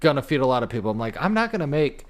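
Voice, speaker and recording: A man's voice: mid-range voice, big pitch swings, rapid-fire. A young man chatting casually as a podcast co-host in a rambling, jokey way with some swearing. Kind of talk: casual comedic chat.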